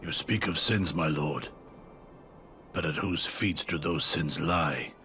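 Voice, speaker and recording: An actor playing a solemn, probing counsellor in a video game, speaking in grave, deliberate rhetorical phrases. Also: male voice, rumbling low, pushed and bright, soft background music, full character voice. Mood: solemn, challenging. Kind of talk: video game cutscene acting.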